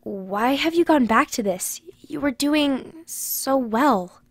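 A woman's voice: sits high, expressive, slow, no echo, clean studio sound, exaggerated voice. A woman playing a blunt but caring grandmother in recovery, speaking earnestly in an audio drama. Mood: worried, firm. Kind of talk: podcast audio drama acting.

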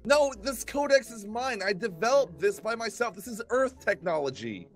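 A man's voice: high-pitched, animated, normal speed, faint music behind. A man playing an exasperated, brainy roommate in an improvised Minecraft roleplay, protesting and stammering pedantically.

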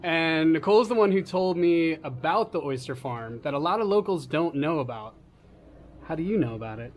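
A man's voice: a little high, lively intonation, moderate pace, slight background noise. A man in his forties hosting a casual cooking vlog on an outdoor porch, upbeat and laughing often. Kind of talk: casual chat.

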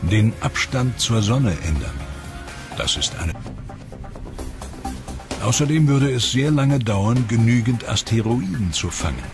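A man reading scripted documentary voice-over in a measured, grave and dramatic way. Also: medium pitch, lively intonation. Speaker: documentary narrator. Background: music under the voice.

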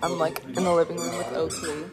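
A teenage girl vlogging at home in the morning, her voice hoarse from yelling the night before. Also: lowish voice, huge pitch swings, a little fast, over a noisy crowd. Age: teens. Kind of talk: casual vlog chat. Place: bedroom at home. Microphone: phone camera at arm's length.

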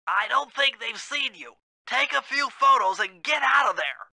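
An actor playing a brainy, earnest turtle gadget expert in a video game, nasal and know-it-all. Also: male voice, very high voice, lively intonation, normal speed, vocal fry, pushed and bright, no room sound, heavily acted. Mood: urgent.

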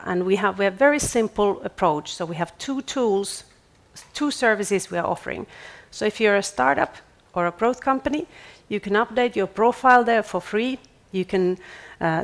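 A woman, giving a measured conference pitch on stage and restarting words now and then. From Finland. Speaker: startup accelerator CEO.

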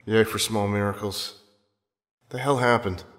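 A man's voice: lowish voice, fairly even intonation, a little slow, fairly bright tone. An actor playing a battered, worried male captive in an audio drama, sounding weary and urgent. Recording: long hall-like reverb.

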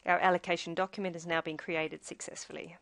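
A woman reading a scripted software tutorial, explaining each step in a measured, instructional way. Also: lowish voice, level tone, no room sound, clean studio sound.

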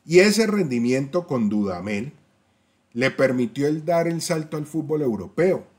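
A man hosting a football fan channel, talking straight to viewers about player stats and often checking in with a quick "¿ya?". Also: a little high, lively intonation, darkish tone. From Colombia. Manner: talks to viewers directly, tag questions, stats-heavy. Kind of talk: casual fan-channel commentary.

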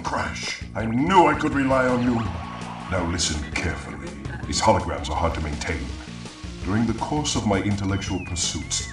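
Man as grandiose mad-scientist game villain, lowish voice, theatrical, echoey and distant. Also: lightly acted. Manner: theatrical, grandiose, mock-courteous. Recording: echoey and distant, music behind.

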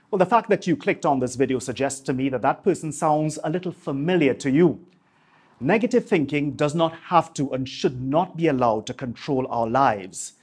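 A man in his forties talks to camera with a slightly high, husky voice. His delivery is polished and measured, like a practised broadcaster hosting his own channel. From Trinidad and Tobago. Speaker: YouTube host, marketing coach. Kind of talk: scripted piece to camera. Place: small home office, indoors. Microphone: lapel mic.

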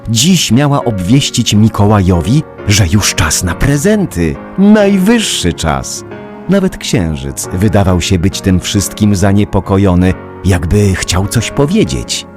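A man narrating a children's story in a dramatic, measured storytelling manner, with music under the voice. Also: on the low side, big pitch swings, darkish tone.

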